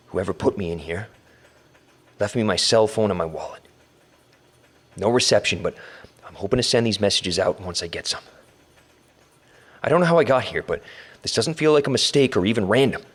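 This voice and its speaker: Scared kidnapped everyman, lowish voice, halting and self-correcting, wry edge, podcast drama. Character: frightened, wry kidnapped man recording messages for help. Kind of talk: audio drama recorded as voice messages.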